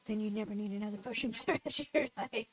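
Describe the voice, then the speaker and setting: A woman's voice: a little high, quick pace, a little hiss or hum. Nasal woman chatting through a game stream. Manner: rambling, giggly, self-deprecating. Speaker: livestreamer. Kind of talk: casual gameplay chat.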